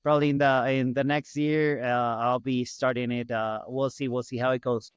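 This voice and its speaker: Smooth-voiced Ecuadorian man, close and dry. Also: mid-range voice, flat delivery, normal speed, dry recording. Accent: Ecuadorian Spanish. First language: Spanish. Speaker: podcast guest, hospital business owner. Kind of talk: casual interview storytelling.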